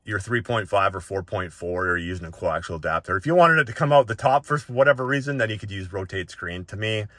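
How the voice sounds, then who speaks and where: Guy with a lowish voice doing a laid out settings tutorial, informal and off the cuff, dry recording. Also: lively intonation, fast, few pauses, fairly bright tone, no room sound. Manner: informal, often ends points with a checking "right?". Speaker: tech reviewer presenting a settings walk-through. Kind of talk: casual unscripted tutorial.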